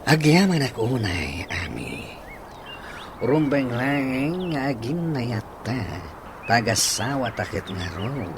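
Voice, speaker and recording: A man's voice: mid-range voice, very animated, takes it slowly, background noise. An actor with an Ilocano accent playing an earnest, devoted young man in a radio drama. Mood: sincere.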